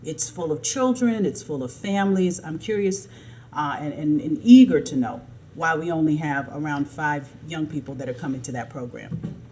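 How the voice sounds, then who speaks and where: Low-voiced woman commissioner, earnest. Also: warm tone, slight background noise. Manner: earnest, measured questioning. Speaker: city commissioner. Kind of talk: public meeting remarks. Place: city council chamber.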